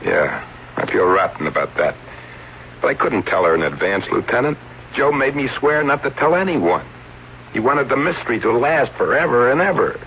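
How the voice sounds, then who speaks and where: An actor playing a wry, regretful publicity agent confessing to a detective in an old-time radio drama. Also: male voice, mid-range voice, very animated, normal speed. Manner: colloquial, dramatic. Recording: muffled vintage radio recording, faint hiss.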